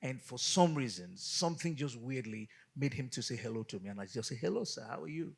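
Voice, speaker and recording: Man from Nigeria, husky voice, guest pastor, lively storytelling, acts out quoted dialogue, middle-range pitch. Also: a little fast. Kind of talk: casual storytelling in a studio interview.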